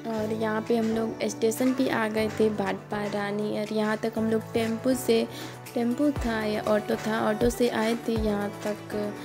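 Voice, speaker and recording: A woman's voice: on the high side, little pitch movement, unhurried. A young woman, narrating her trip in a casual, rambling voice-over and often restarting her sentences. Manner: rambling, many "matlab" restarts. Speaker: vlogger. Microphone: phone. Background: background music.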